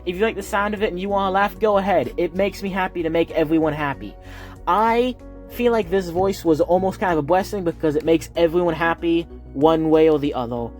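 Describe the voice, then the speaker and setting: A man's voice: sits high, a little fast, darkish tone, faint music behind. A man with a Southern US accent, a heavy lisp and a speech impediment, chatting casually and earnestly to his viewers, recorded on a phone. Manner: rambling, earnest, with fillers. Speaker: YouTuber.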